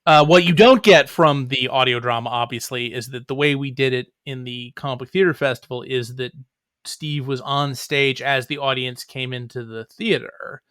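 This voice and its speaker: Male writer guest, mid-pitched, casual and wry, self-interrupting, recorded dry. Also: steady tone, moderate pace, fairly bright tone, clear, resonant tone, no room sound. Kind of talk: casual chat.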